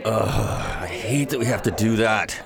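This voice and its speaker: A man's voice: fairly low pitch, unhurried, gravelly, a loud crowd. A man playing a grumbling, mocking young college student in an audio drama, speaking in a sardonic, slangy way.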